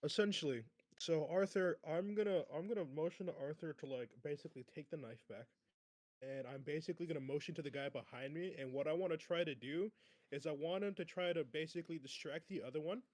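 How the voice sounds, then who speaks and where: A man's voice: a little high, fast talker, darkish tone, dry recording. A man chatting casually as a player in a tabletop roleplaying game, blunt and heavily profane.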